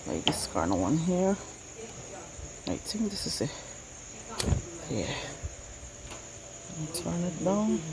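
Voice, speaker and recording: Female cooking vlogger, Guyanese accent, low register, casual think-aloud chat, clearly noisy home recording with insects. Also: sing-song, slow, pauses often. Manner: thinks aloud, repeating phrases.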